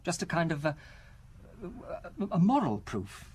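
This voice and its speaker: A nervous, fragile husband in an audio drama. His voice sits a little high and swings noticeably in pitch as he hesitates and justifies himself. Faint noise lies behind him. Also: huge pitch swings, a little mellow. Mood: nervous, hesitant. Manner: hesitant, halting, self-justifying. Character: worried fragile husband who suspects his wife of poisoning.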